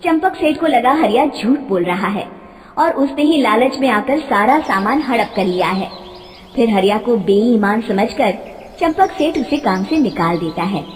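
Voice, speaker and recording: A woman's voice: fairly high pitch, expressive, unhurried, slight background noise. A woman narrating a scripted story in a dramatic storytelling style. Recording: long reverb.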